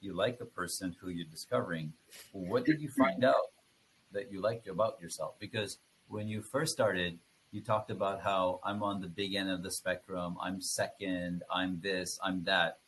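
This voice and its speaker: Man, low voice, interviewer, teasing casual questions, faint background noise. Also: normal speed, a bit bright, low-quality recording.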